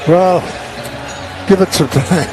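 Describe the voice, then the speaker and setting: A man giving conversational color commentary on a football radio broadcast, with wry, halting asides. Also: high voice, background noise. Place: stadium broadcast booth.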